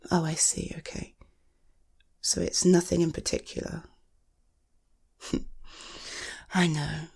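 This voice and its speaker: A British woman playing an affectionate, gently teasing partner in an intimate ASMR bedtime roleplay, soft, breathy and murmuring. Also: on the low side, full character voice. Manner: soothing, unhurried, murmuring.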